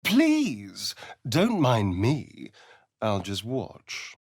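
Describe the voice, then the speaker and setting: An actor playing a sly, sardonic vampire rogue in a video game, with a theatrical, arch, drawling delivery. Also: male voice, lowish voice, wildly swooping pitch, drawn-out words, slightly rough, full character voice. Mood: sly, teasing.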